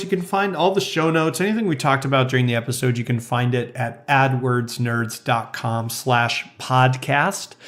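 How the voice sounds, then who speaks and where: A man hosting a podcast, sounding hoarse and a little raspy from being sick, chatting in an upbeat, casual way with lots of fillers. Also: a little high. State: hoarse, recovering from illness. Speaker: podcast host. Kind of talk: casual interview and outro.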